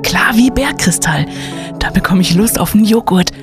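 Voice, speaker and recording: A woman's voice: medium pitch, moderate pace, natural voice. Woman playing a cheeky young student lad, music behind. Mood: cocky. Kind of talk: audio drama acting.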